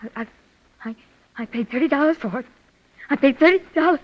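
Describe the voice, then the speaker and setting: An actress playing an unhinged, hysterical woman in a 1940s radio mystery, halting and repeating herself breathlessly. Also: high-pitched, lively intonation. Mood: nervous, brittle. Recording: dull, lo-fi vintage radio recording.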